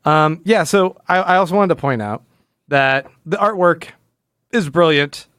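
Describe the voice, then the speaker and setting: A man's voice: on the high side, expressive, unhurried, fairly bright tone, clean tone, no echo, clean studio sound. A man co-hosting a podcast, talking casually and analytically, often rephrasing himself as he explains. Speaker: podcast co-host.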